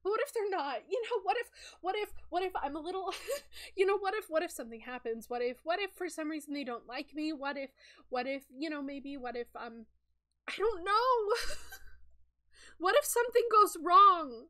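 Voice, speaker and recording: A woman's voice: high-pitched, animated, normal speed. Woman doing a jittery cartoon spider girl. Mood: anxious. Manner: anxious, rambling, repeating herself.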